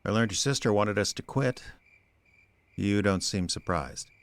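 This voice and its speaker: A tired wry detective in a radio play, deep voice, dry sarcastic delivery. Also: male voice, a little slow. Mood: dry, probing. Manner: deadpan, sardonic. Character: wry, weary male small-town private investigator. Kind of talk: first-person noir narration and dry radio drama dialogue.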